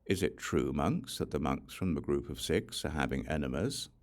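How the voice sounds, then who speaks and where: A man reading a religious text as scripted audiobook narration in a measured, deliberate way. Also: extremely low pitch. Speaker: audiobook reader.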